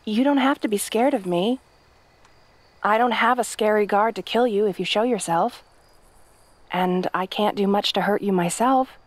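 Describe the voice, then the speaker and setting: A woman's voice: medium pitch, normal speed, no room sound, close to an everyday voice. A woman playing a kind, hapless young princess in a fantasy audio roleplay, talking to the listener in a nervous, stammering, chatty way. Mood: curious, gentle. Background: night sounds with crickets.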